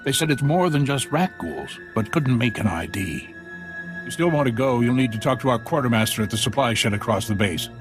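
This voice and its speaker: An actor playing a harried, deferential outpost commander in a sci-fi video game, obliging and plainspoken. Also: male voice, mid-range voice, animated, brisk, music in the background, lightly acted. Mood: deferential, worried. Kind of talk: video game dialogue acting.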